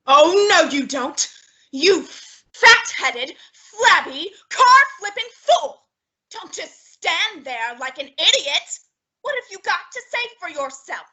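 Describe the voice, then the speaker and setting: Shrill, high-voiced scolding battle-axe wife played by a woman. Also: very animated, slow, pushed and bright, heavily acted, low-quality recording. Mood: indignant. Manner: shrill, exclamatory, insulting. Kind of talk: old-time radio comedy acting.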